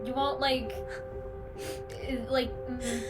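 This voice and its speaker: A woman chatting casually and haltingly as a panelist in a group reaction session. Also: fairly high pitch, flat delivery, over music.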